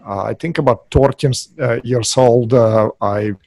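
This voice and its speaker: Halting Russian-accented man, dry sound. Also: mid-range voice, gravelly, darkish tone, dry recording. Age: middle-aged. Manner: halting, many uh fillers. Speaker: guest. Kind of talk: podcast interview.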